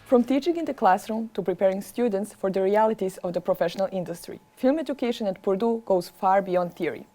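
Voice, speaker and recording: A woman's voice: mid-range voice, a little fast, few pauses, mellow, soft background music. A woman speaking as a television interview host in a polished, formal, scripted broadcast style.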